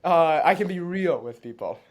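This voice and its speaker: Halting, reflective male interview guest. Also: a little high, expressive. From born in China, raised in Canada. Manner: halting, many restarts, laughs often.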